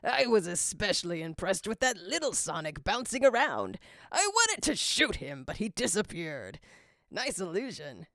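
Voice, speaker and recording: A woman's voice: medium pitch, huge pitch swings, moderate pace, a bit bright, no echo, studio quality. Boisterous male mad-scientist villain for a fan story, theatrical and taunting, swooping delivery performed by a woman. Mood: smug. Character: boisterous, scheming male supervillain doctor.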